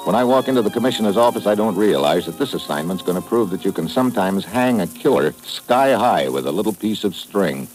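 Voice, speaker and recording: An actor playing a hard-boiled government agent who narrates and trades dry wisecracks, in a 1950s radio spy drama. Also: male voice, on the low side, animated, fairly quick, few pauses, over music, close to an everyday voice, low-quality recording.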